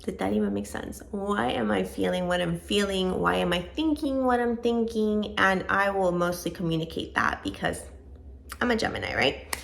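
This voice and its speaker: A woman's voice: mid-range voice, normal speed, vocal fry. A woman about to turn forty, born in Venezuela and raised in Georgia, chatting casually to camera for a home vlog in a rambling, self-deprecating way. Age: 30s. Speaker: vlogger.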